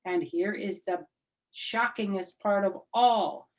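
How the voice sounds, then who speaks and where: An older American woman reads a children's story in a mid-pitched voice. Her delivery is expressive, and she breaks off for chatty asides that explain things simply to young listeners. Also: takes it slowly. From United States. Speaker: storyteller, former teacher.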